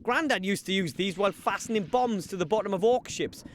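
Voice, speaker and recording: A man's voice: sits high, fairly quick, a little hiss or hum, natural voice. An actor playing a timid, bumbling young male gnome adventurer in a game, fumbling and stammering as he gropes about. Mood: sheepish. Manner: fumbling, hesitant, stammering when startled. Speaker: game character.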